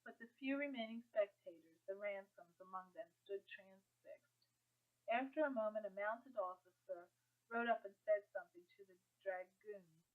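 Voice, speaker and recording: Woman narrating a novel, muffled narrow-band sound. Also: medium pitch. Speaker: audiobook narrator. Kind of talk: scripted story narration.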